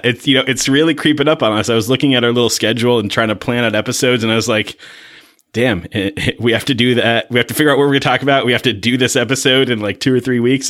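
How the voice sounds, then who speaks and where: A man's voice: mid-range voice, fairly bright tone. A man co-hosting a podcast, chatting informally with wry banter. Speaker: podcast co-host. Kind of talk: casual co-hosted podcast chat.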